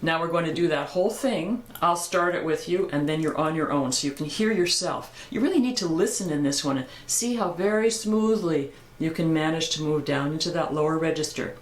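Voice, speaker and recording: An older woman with a low voice, teaching a singing lesson in a calm, encouraging way. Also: brisk, few pauses, roomy sound. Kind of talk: vocal lesson with sung exercises.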